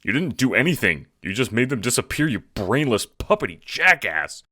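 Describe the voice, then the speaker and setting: A performer playing a jaded, sarcastic and irritable teenage boy in an audio drama, deadpan and foul-mouthed. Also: mid-range voice, normal speed, no room sound, clean studio sound, pitched above the performer's own voice. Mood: exasperated, angry. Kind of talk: audio drama character acting.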